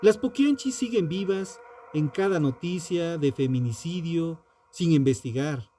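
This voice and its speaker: A man narrates a scripted true crime piece in a solemn, dramatic and deliberate manner. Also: fairly high pitch, dark tone, a little hiss or hum. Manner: solemn, dramatic, deliberate phrasing with rhetorical questions. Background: music.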